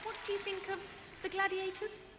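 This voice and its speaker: A woman hosting a TV game show in a large indoor arena, with bright, upbeat presenting. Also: extremely high pitch, level tone, moderate pace, slight background noise. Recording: 1990s TV broadcast in a crowded arena. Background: loud cheering crowd.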